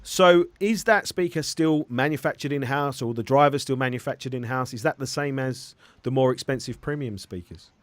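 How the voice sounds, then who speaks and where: A man's voice: mid-range voice, normal speed, dry recording. A man hosting a casual live-stream interview, rambling and often correcting himself as he phrases questions. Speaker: interviewer and hi-fi review host.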